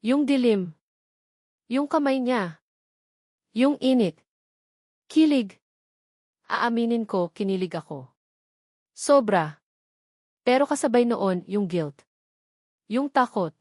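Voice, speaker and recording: A woman narrates a first-person romantic drama from a script, dramatically, in short halting phrases. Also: on the high side, animated, darkish tone, no room sound, studio quality. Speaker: story narrator.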